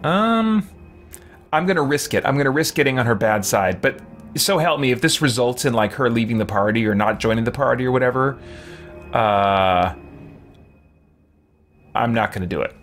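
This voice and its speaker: A man's voice: medium pitch, expressive, moderate pace, pauses often, fairly bright tone, soft background music. A man in his forties giving casual, reflective, rambling gameplay commentary as a streamer. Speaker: game streamer. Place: small room with shelves, indoors. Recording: game ambience as clear background noise.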